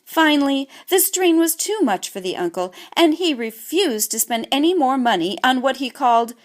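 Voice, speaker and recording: A woman narrating a children's audiobook in an expressive, scripted storytelling manner. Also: sits high, normal speed.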